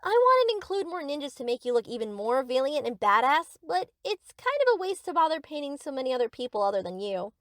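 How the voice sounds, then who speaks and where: A woman's voice: high voice, sing-song, fast, few pauses, fairly bright tone, no room sound, clean studio sound. A woman playing a young yandere best friend in a scripted roleplay, doting on the listener with cheerful, possessive obsession. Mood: adoring.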